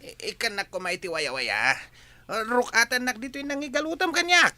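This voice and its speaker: A man's voice: extremely high pitch, expressive, moderate pace, pushed and bright, no echo, full character voice. An actor playing a nervous, pleading male henchman who stammers and hesitates, with an Ilocano accent, in a radio drama. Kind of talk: Filipino radio drama acting.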